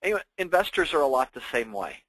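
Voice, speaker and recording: A man's voice: medium pitch, sing-song, fast talker, fairly bright tone, no room sound, low-quality recording. A man presenting to investors as a financial planner, explaining things informally and conversationally with everyday examples.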